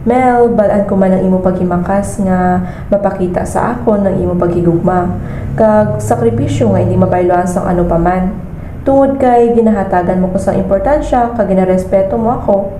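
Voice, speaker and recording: Young woman acting earnest forgiving woman, Hiligaynon accent, radio drama, echoey room, clear background noise. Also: medium pitch, moderate pace, dark tone, close to an everyday voice. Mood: tender, earnest. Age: young adult. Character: sincere woman, loving, later married and forgiving businesswoman. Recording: roomy, noisy amateur room recording.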